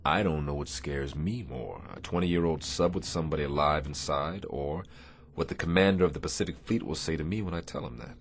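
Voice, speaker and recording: A man playing a commanding Navy destroyer captain in a radio drama, measured and dryly wry. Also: a bass voice. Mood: troubled.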